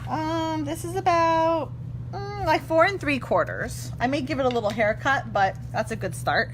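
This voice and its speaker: Hoarse-ish woman, 30s, chatty craft demo at her table, kinda high voice, humming noise behind. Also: moderate pace. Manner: chatty, self-correcting, laughs often. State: slightly hoarse. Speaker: craft tutorial host. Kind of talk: casual live stamping demo. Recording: clear background hum.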